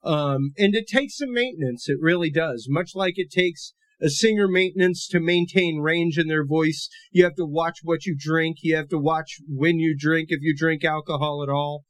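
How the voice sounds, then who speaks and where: A man's voice: on the high side, normal speed, hardly any pauses, dry recording. A man hosting a podcast, talking through a topic in a loose, conversational, explanatory way with a big, full voice. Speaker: podcast host. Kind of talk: casual educational podcast monologue.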